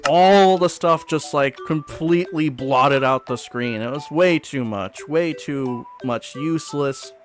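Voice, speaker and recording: A man's voice: fairly high pitch, takes it slowly, hardly any pauses. A man giving casual, chatty, self-deprecating commentary as a video creator ranking things. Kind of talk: casual commentary over a tier list. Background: background music.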